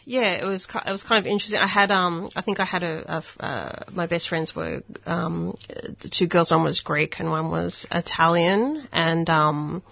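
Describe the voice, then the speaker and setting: A woman's voice: lowish voice, normal speed, vocal fry, hardly any pauses, dry recording, muffled recording. A woman in her forties with an Australian accent, a musician guest talking casually and hesitantly, with many ums and likes. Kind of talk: casual interview.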